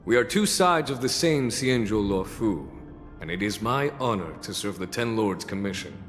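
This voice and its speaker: An actor playing a composed, dutiful army general in a fantasy video game, speaking formally and with command. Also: male voice, fairly low pitch, expressive, moderate pace, close to an everyday voice. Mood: assured, courteous. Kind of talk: dramatic video game voice acting. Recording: long echoing hall reverb, music behind.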